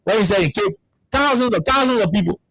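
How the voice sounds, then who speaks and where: A man's voice: high voice, animated, fast talker, fairly bright tone, dry recording, low-quality recording. A man from Liberia with a Liberian English accent, hosting a radio talk show with heated political commentary and repeating words as he searches for them. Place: radio studio.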